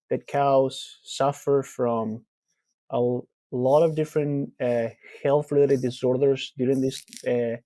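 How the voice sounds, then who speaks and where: A man from Argentina in his thirties, a researcher answering interview questions in a relaxed, explanatory way with frequent fillers. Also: mid-range voice, dry recording. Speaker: guest researcher.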